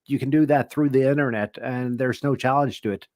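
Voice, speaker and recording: A middle-aged Norwegian man hosting a relaxed radio-hobby live stream. He talks thoughtfully in a mid-range voice, often restarting his phrases. The sound is dry and close, with no room around it. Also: medium pitch, a little fast, no room sound. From Norway. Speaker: ham radio show host. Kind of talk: casual live-stream chat.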